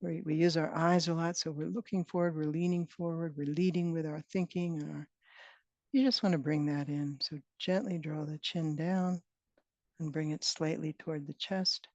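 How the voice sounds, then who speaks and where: A woman leading a guided meditation as a teacher, speaking in gentle, unhurried phrases with long pauses. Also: low voice, steady tone, dry recording. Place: online retreat session, close dry sound. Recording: dull, band-limited online call audio.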